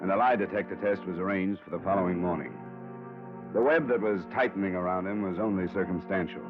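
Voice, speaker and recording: Male actor, low register: hardboiled investigator-narrator, terse and probing, vintage radio crime drama, dull recording with music. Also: quick pace, lightly acted. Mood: matter-of-fact narration. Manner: terse, probing, matter-of-fact.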